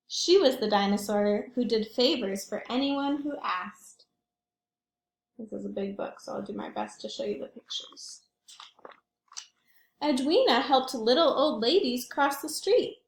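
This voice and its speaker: A woman with a fairly high voice leads a children's storytime in an echoey room. She speaks directly to young listeners, pausing for questions and little asides as she presents the book. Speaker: children's librarian reading aloud.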